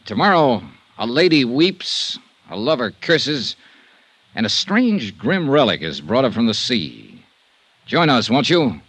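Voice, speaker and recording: An actor playing a wry, dogged insurance investigator in a hardboiled American 1950s radio drama, with clipped delivery. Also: male voice, medium pitch, very animated, a little slow, a bit bright, slightly rough, no room sound, heavily acted. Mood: dramatic narrating.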